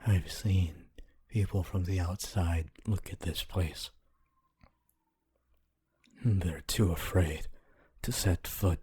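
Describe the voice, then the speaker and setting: Man voicing a slow, husky, deep gentle ghost. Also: exaggerated voice. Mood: soothing, reassuring. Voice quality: low, breathy, husky. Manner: slow, deliberate, formal phrasing with long pauses. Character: gentle, wistful male ghost of a centuries-dead scholar. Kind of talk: ASMR roleplay, slow intimate monologue.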